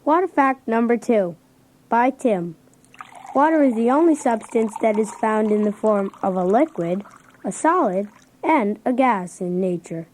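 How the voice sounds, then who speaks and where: A woman's voice: a little high, lively intonation, a little slow, a little mellow, slight background noise. A woman voicing an earnest schoolchild reciting a science report in a children's read-along. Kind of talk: scripted science fact reading.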